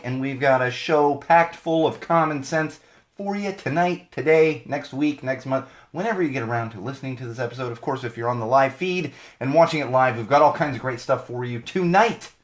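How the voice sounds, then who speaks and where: A man in his thirties hosts a podcast live, his voice a little hoarse and worn. He talks in a loose, rambling way, circling back and correcting himself mid-sentence. His pitch sits in an ordinary middle range. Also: moderate pace. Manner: chatty, rambling, self-correcting. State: slightly hoarse, losing his voice. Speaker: podcast host. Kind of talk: live podcast monologue with plugs.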